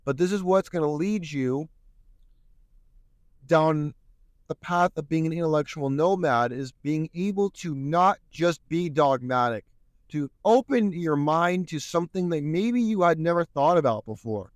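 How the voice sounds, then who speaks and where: A man's voice: on the high side, lively intonation, a little slow, no room sound. A man hosting a solo podcast, speaking earnestly and a bit preachily while he is losing his voice. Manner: earnest, preachy, repeats "my friends". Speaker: podcast host.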